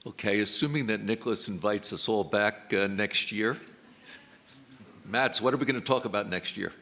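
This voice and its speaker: A man with a low but bright, forward voice moderates a panel in a brisk, wry way. The hall gives a long reverb, and faint room noise sits behind him. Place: conference hall.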